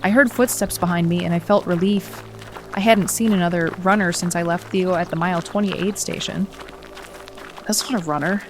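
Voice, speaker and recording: A woman's voice: lowish voice, steady tone, normal speed, music in the background, natural voice. Earnest young woman acted, tense horror heroine. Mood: tense narration. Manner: earnest, suspenseful first-person storytelling. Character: young woman runner, determined, grieving, anxious horror heroine. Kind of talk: horror audio drama acting and narration.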